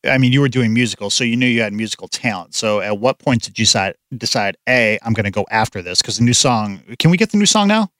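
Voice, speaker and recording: A man hosting a radio interview, relaxed and joking as he asks questions. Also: on the low side, quick pace, hardly any pauses, no echo. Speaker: radio host. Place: radio studio. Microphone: studio mic.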